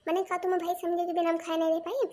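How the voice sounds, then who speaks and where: A performer with an Awadhi accent voicing a quick-tempered, sulky young village housewife who bickers and complains in a comedy cartoon. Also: extremely high pitch, little pitch movement. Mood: indignant. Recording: noisy lo-fi cartoon soundtrack.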